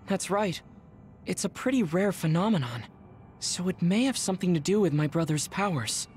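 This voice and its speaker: An earnest young game soldier, with a high male voice. He reasons things out in a measured, thoughtful way. There is faint background noise. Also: expressive, darkish tone. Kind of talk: video game voice acting.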